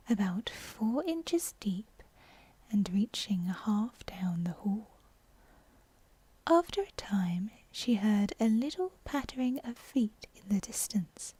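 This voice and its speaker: A woman reads a bedtime story in a breathy whisper, ASMR style. She takes her time, and her tone is dark and soft. The sound is close and very dry. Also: mid-range voice, no room sound. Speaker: audiobook narrator. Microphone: close, dry microphone.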